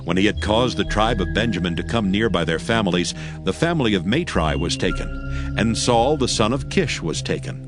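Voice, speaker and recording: A man narrating dramatized scripture as a solemn, measured storyteller. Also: fairly low pitch, expressive, music in the background.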